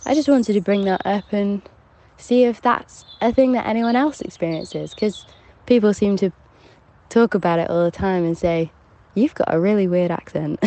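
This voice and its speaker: A woman's voice: medium pitch, darkish tone, slight background noise. A young woman from Hampshire with a shifting, mixed English accent, leaving a chatty, giggly voicemail for a podcast. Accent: mixed English, shifting between southern and northern. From Hampshire, England. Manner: chatty, giggly, self-deprecating. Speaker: caller.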